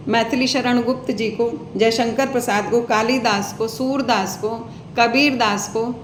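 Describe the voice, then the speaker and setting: Slow, declamatory woman poet in echoey room. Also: fairly high pitch, a little hiss or hum. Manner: earnest, declamatory, repeats poem lines with rising emphasis. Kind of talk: speech on language followed by poetry recitation.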